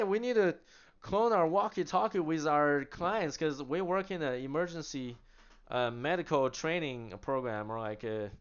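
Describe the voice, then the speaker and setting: A man casually demonstrating radio gear, chatty and animated, laughing now and then as he explains. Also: fairly high pitch, smooth, lo-fi sound. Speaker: product demonstrator. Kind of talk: casual product demo.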